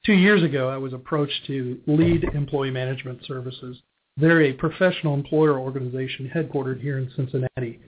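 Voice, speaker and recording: Husky middle-aged American man. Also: medium pitch, fairly even intonation, fairly quick. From Wisconsin, United States. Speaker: guest, business executive. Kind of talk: conversational interview answers. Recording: muffled narrow phone-like audio.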